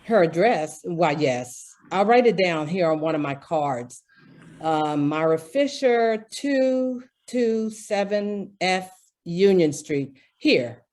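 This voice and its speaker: A prim department-store floor walker, a man voiced by a woman, lowish in pitch and very deliberate in pace. The delivery is stuffy, curt and officious, in radio-play style. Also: animated, darkish tone. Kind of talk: old-time radio drama acting.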